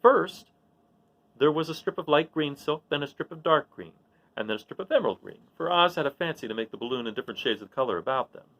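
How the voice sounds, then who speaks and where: A man's voice: a little high, lively intonation, quick pace, a bit bright, low-quality recording. A man hosting a read-aloud series, narrating a storybook in the role of storyteller. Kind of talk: storybook narration with informal asides.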